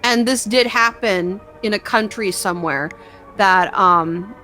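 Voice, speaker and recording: A woman's voice: mid-range voice, a little slow, bright, forceful tone, soft background music. A woman co-hosting in a casual reaction chat, informal and inquisitive, with loose profane language. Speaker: co-host reacting to videos.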